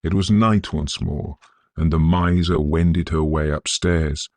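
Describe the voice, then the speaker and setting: A man narrating a literary ghost story in a measured, solemn, scholarly manner, with a gravelly, husky voice. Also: extremely low pitch, fairly even intonation, a little mellow, no echo. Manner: measured, deliberate, solemn delivery.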